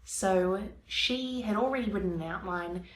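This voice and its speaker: A woman's voice: mid-range voice, unhurried, roomy sound, slight background noise. A young woman with a stuffy head cold, chatting casually to camera about planning her novel. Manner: chatty, explains her plans step by step. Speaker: vlogger, aspiring fiction author. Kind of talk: casual vlog talk to camera.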